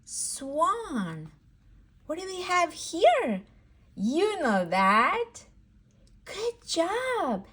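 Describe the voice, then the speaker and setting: Slow, sing-song woman teaching toddlers. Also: very high voice, huge pitch swings, fairly bright tone. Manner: sing-song, encouraging, repeats words slowly for a small child. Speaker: children's educator and host. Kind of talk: read-aloud vocabulary lesson for babies and toddlers.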